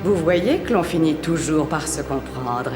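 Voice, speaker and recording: In an echoing chamber with music underneath, a cruel, vain cartoon queen. She is imperious and theatrical, her pitch leaping widely with every threat and command. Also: expressive, measured pace. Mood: silky menace. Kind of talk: French animated film voice acting. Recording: echoey reverberant hall, music behind.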